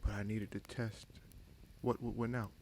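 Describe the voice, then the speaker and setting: Casting: male actor for a frank, wry bastard prince, fantasy audio roleplay. Lowish, creaky voice, musing delivery with long pauses, slight background noise. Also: level tone, darkish tone, natural voice. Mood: firm, sincere. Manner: measured, musing, pausing often mid-sentence. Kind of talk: fantasy audio roleplay, slow intimate monologue.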